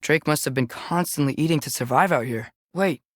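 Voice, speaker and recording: A man's voice: a little high, brisk, dry recording, lightly acted. An earnest, alert adolescent boy from a fantasy video game, played by a young man, speaking with an American accent. His voice is light and youthful, recorded close and dry. He talks casually and curiously, like a companion pointing out what he notices. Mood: thoughtful, wondering. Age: teenager. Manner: casual, conversational, observant.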